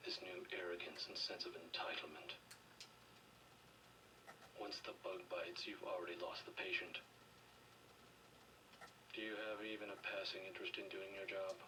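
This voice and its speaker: An actor playing a cold, condescending government official in a video game, speaking in measured, quiet threats. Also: male voice, sits low, distant mic, noisy background, lightly acted. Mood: impatient, commanding. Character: cold, menacing middle-aged male government official interrogating a prisoner.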